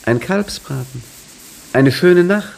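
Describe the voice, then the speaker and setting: An older actor playing an old caretaker and storyteller, dry and ironic, in a 1950s radio drama. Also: male voice, sits high, expressive, takes it slowly, gravelly, darkish tone, heavily acted, rough recording. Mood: wry teasing. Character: old male caretaker, wry wise storyteller. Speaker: caretaker telling a tale.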